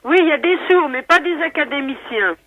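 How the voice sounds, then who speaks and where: A woman answering the phone as a receptionist, brisk and correcting, in unscripted conversation. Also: high voice, expressive. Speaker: association receptionist. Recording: dull lo-fi telephone line.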